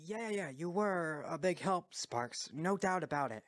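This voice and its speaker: A man's voice: a little high, expressive, moderate pace, very noisy, voice pushed up, close to an everyday voice. A man voicing a young, kindhearted male dragon hero, earnest and sincere, in an amateur video game fandub. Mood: calm, sincere.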